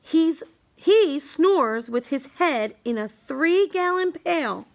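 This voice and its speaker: A woman's voice: high voice, lively intonation, slow pace. A woman reading a rhyming children's book aloud in an emphatic, sing-song storytelling manner.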